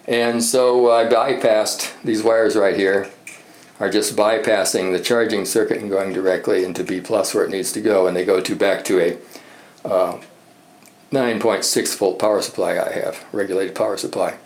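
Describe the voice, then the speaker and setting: A man giving a casual, unscripted hobbyist show-and-tell, halting and rambling as he thinks aloud. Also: on the low side, fairly even intonation. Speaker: hobbyist presenter demonstrating a vintage radio repair. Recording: echoey room with background noise.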